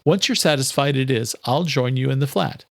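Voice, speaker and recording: A man's voice: mid-range voice, brisk, vocal fry, no echo, studio quality, voice pushed up, lightly acted. A man voicing a determined, strong-willed woman in an audiobook thriller. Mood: firm. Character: defiant, determined adult sister, a schoolteacher.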